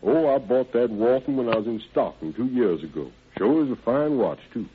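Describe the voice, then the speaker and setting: A man's voice: medium pitch, expressive, normal speed, noisy background, lightly acted. Guy playing evasive young ex-con in old radio crime show, folksy bad grammar, hesitant, voice all over the place, tinny old recording. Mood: deferential, uneasy. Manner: hesitant, rambling, folksy ungrammatical speech. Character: evasive young ex-convict odd-job man, folksy and deferential. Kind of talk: old-time radio crime drama acting. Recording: band-limited vintage radio recording.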